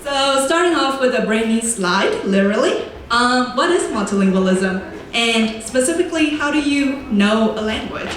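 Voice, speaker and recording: Young woman, native Vietnamese speaker, student presenter, measured and clear, recorded from far off in a very reverberant hall. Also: fairly high pitch, expressive, a little hiss or hum. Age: young adult. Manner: clear, deliberate, slows to stress key words. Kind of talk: prepared lecture presentation. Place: large echoey lecture room. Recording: very echoey room, voice from far off.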